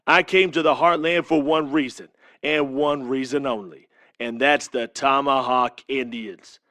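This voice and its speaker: A man's voice: medium pitch, steady tone, a little slow, sharp tone, dry recording, clean studio sound. An actor playing a cocky, boastful pro-wrestling pretty boy in a taunting promo for an audio drama. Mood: scornful taunting.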